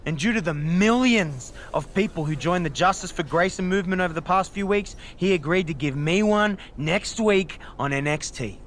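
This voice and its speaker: A man's voice: high-pitched, moderate pace, hardly any pauses, a bit bright, a little hiss or hum. A man playing a cocky, challenging rival wrestler in a video game cutscene. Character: cocky, competitive male rival pro wrestler.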